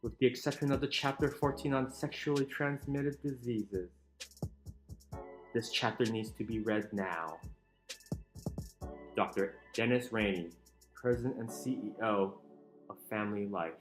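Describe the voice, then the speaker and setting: A man giving a dramatic, emphatic reading of a book, with deliberate pauses. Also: fairly low pitch, steady tone. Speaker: channel narrator reading a book aloud. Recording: roomy echo with music underneath. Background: soft chill music.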